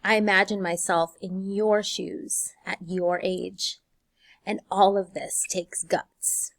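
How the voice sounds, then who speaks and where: Slow, encouraging woman teacher. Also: mid-range voice, steady tone, dry recording. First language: Spanish. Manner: earnest, encouraging, measured. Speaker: high school Spanish teacher. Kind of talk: scripted welcome message to students.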